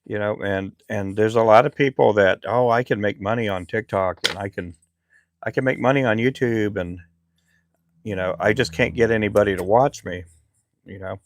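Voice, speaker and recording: A middle-aged man who makes fishing lures, chatting casually on his live stream in a relaxed, rambling way with lots of fillers. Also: lowish voice, normal speed. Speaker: lure maker live streamer.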